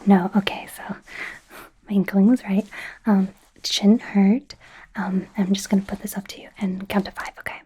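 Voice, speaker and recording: A woman playing an anxious, apologetic young werewolf medical student in a soft, hesitant ASMR roleplay whisper. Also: mid-range voice, little pitch movement, slightly rough, rough recording. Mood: careful, apologetic. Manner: hesitant, halting, nervous.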